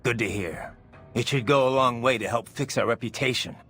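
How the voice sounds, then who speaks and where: An actor playing a confident, wry cyborg mercenary in a video game. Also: male voice, medium pitch, fairly quick, a bit bright, slightly rough. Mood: satisfied. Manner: cool, wry. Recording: game music behind.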